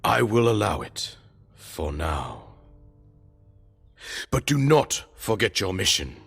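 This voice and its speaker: Deep, husky, stern old king. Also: big pitch swings, a bit bright, faint music behind, exaggerated voice. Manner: measured, formal, commanding. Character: stern, regal older king and father. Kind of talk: dramatic video game cutscene acting.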